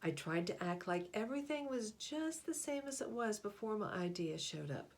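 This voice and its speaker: A woman reading a picture book aloud as a storyteller, with deliberate, expressive phrasing and pauses. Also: medium pitch.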